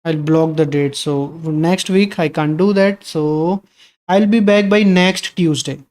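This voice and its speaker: A man's voice: fairly high pitch, fairly even intonation, a little slow, a little mellow. A man hosting a live coding stream, reading viewer questions aloud and answering them in a casual, conversational way.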